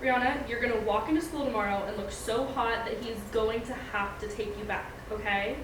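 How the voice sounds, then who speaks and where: Noisy echoey room, distant mic, woman as teen girl best friend, frank, supportive, casual, slightly high voice. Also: a little fast, natural voice. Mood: encouraging. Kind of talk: screenplay table read acting.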